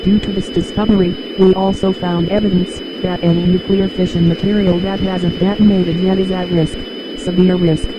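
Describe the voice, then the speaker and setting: High-pitched actor as grave male official. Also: background noise, close to an everyday voice. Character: grave male scientific official warning the world. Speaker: official emergency message reader. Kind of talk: formal scripted emergency address.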